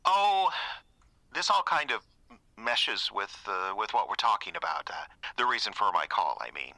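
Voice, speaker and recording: Awkward, bright-voiced evasive man. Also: lowish voice, big pitch swings, no room sound, full character voice. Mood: nervous, evasive. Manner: hesitant, halting, hedging. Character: awkward, evasive ex-boyfriend, nervous and conflict-shy. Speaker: actor in audio drama. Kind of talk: radio drama acting.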